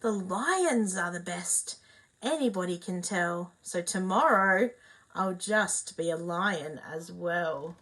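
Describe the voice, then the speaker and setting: A woman's voice: on the low side, expressive, slow, fairly bright tone. A woman voicing a restless male emu from a picture book who boasts about becoming other animals. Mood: cheeky.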